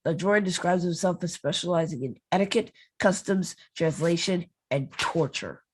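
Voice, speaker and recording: A woman's voice: lowish voice, moderate pace, darkish tone, a little hiss or hum. A woman co-hosting a podcast, reading a story recap evenly and adding playful asides. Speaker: podcast co-host. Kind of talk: casual podcast chat and read recap.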